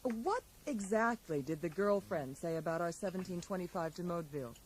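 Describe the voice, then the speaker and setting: Actress as animated, wry, sceptical woman. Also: on the low side, a little fast, natural voice. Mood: wry, curious. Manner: dry, teasing. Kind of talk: radio drama acting. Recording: mild hiss, 1980s radio drama.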